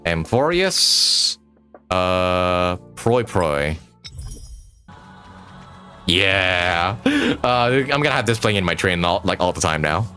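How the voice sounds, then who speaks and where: A young man giving casual, excitable, sweary reaction commentary as a game streamer, often repeating words as he goes. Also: sits low, faint music behind. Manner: excitable, sweary, halting repetitions.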